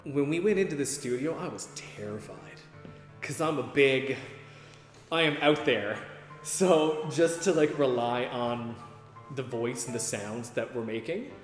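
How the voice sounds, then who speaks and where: A man radio drama performer talking excitedly and casually in a documentary interview, repeating himself. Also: medium pitch, a little slow. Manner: excited, repetitive. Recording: roomy echo with faint music behind.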